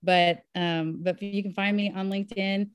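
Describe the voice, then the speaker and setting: A woman's voice: mid-range voice, fairly even intonation, normal speed, clear, resonant tone, no room sound, studio quality. A woman physician appearing as a podcast guest. She speaks in an upbeat, enthusiastic, conversational way. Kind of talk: casual interview talk. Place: home in Nashville.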